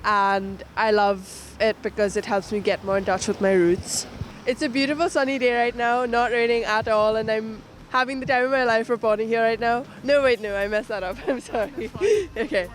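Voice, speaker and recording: A woman's voice: fairly high pitch, steady tone, moderate pace, a little hiss or hum. A young woman from India introducing herself casually to camera in an upbeat, chatty way. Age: young adult. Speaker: news intern introducing herself.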